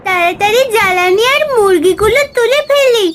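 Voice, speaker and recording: A woman voicing a young village daughter who talks in a high, childlike way to her mother. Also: normal speed, noisy background. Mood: urgent.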